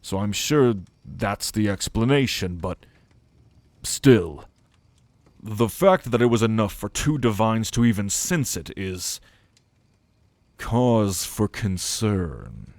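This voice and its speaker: A man acting a brooding, dutiful ancient celestial being in an ASMR audio roleplay, speaking in a measured, formal, pensive way. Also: lowish voice, expressive, darkish tone, no room sound. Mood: grave, pondering. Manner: measured, pensive, formal phrasing with deliberate pauses.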